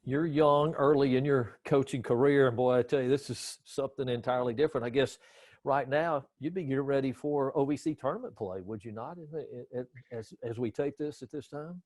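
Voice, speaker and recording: A man hosts a casual interview in a folksy, chatty way and teases his guest with easy jokes. His voice sits in a middle pitch. The sound is dry and close. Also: fairly quick, darkish tone, no echo. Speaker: interview host.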